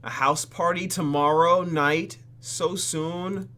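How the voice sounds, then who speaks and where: A man hosting a let's play, reading game text aloud and adding sarcastic, animated asides. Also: on the high side, slow pace. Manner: animated, sarcastic, exclaims and mutters. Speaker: YouTube gaming host.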